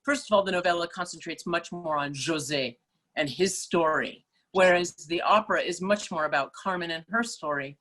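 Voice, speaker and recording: A middle-aged woman opera singer, speaking as a guest in a relaxed interview, thoughtful and full of small restarts and fillers. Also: on the low side, moderate pace. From Malaysia. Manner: thoughtful, many restarts and fillers, laughs easily. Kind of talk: casual interview chat.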